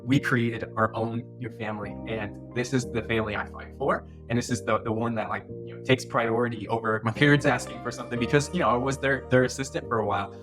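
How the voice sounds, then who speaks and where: A young man chatting as a guest on a podcast, in a voice of ordinary pitch. He rambles and circles back, restarting his sentences as he goes, in an easy conversational way. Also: steady tone, quick pace, few pauses, music in the background. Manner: rambling, restarts phrases often.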